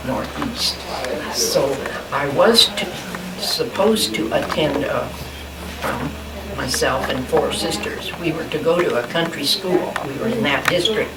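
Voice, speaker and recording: An elderly woman, recalling her life in a slow, hesitant oral history interview with small laughs and restarts. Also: low voice. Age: 80s. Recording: distant mic in a roomy space with loud background noise.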